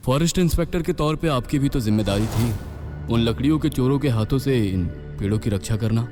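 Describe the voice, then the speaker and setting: A man's voice: mid-range voice, fairly quick, over music, lightly acted. A man playing a bold, inquisitive police inspector in a Hindi horror audio story, with dramatic questioning delivery. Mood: suspicious, resolute.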